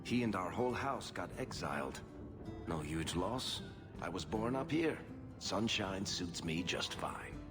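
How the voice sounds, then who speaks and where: Deep, wry roguish dwarf. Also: male voice, lively intonation, a little slow. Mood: self-amused, breezy. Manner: sardonic, glib, self-amused storytelling. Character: wry roguish male dwarf adventurer, charming younger son. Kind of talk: video game character dialogue. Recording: music behind the voice.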